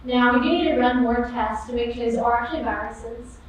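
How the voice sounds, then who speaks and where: A young woman delivers a rehearsed research talk with a playful, lively touch, her voice pitched somewhat high. She is heard from a distance, with heavy hall echo and faint noise underneath. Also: fairly quick. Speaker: competition presenter. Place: auditorium stage. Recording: echoey hall, far mic, faint noise.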